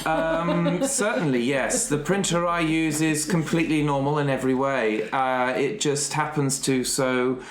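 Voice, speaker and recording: A man playing an awkward, evasive accountant in improvised comic roleplay, halting and repeating himself fussily. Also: fairly high pitch, fairly even intonation, natural voice. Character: awkward, evasive, eager-to-please odd accountant. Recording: roomy echo.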